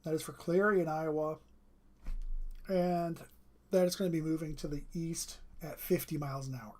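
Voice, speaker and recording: A weather livestreamer talks steadily through live radar maps. The voice is strained and keeps breaking off to clear the throat. It sits at an ordinary middle pitch. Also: fairly even intonation, normal speed, a little mellow.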